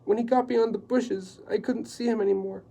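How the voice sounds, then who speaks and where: A man reading aloud and voicing a nervous, tearful woman housekeeper who talks in a high, fretful whimper. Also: fairly even intonation, normal speed, vocal fry, mellow, rough recording. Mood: anxious, fretful.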